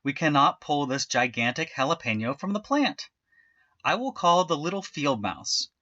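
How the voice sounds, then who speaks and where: A man's voice: fairly high pitch, expressive, moderate pace, bright, forceful tone, no echo, highs cut off. A man voicing a clever, helpful female cat in a storybook, meowing and calling in singsong.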